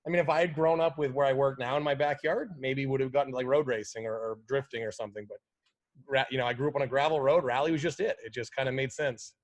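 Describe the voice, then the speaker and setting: A man at a middle pitch talks as a guest in a laid-back interview. He rambles in an easy, friendly way and leans on casual fillers as he speaks. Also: steady tone, fast talker, lo-fi sound. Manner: easygoing, lots of fillers like "like" and "you know". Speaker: guest, pro rally driver.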